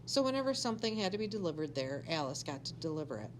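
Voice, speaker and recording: A woman's voice: low-pitched, sing-song, quick pace, a little hiss or hum. A woman reading a children's story aloud expressively, like a teacher to a class.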